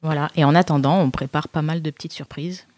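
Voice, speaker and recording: A woman who is a band's singer and lyricist, soft-spoken in a casual interview. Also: low voice, fairly even intonation, quick pace, no echo. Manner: soft-spoken, laughs easily.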